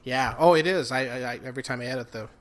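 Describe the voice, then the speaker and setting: A man's voice: mid-range voice, quick pace. A man co-hosting a casual podcast, with dry, wry humor and frequent laughs. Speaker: podcast co-host and editor. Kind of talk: casual chat.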